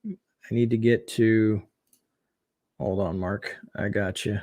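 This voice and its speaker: A man hosting a casual late-night livestream, chatting and reading viewer comments aloud, his voice getting hoarse. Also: lowish voice, fairly even intonation, takes it slowly, darkish tone. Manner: chatty, reads viewer comments aloud, frequent fillers and laughs. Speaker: livestream host.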